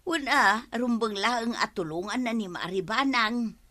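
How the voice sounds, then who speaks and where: A woman's voice: on the high side, a little slow, sharp tone. An actress with a Filipino accent playing a gossipy, chiding village woman in a radio comedy drama. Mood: gossiping.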